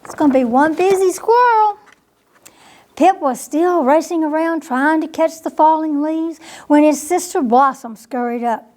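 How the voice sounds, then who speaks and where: A woman's voice: sits high, measured pace, a little mellow. A woman reading a bedtime picture book to young children, expressively, with questioning asides to her listeners. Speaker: storytime reader at a library.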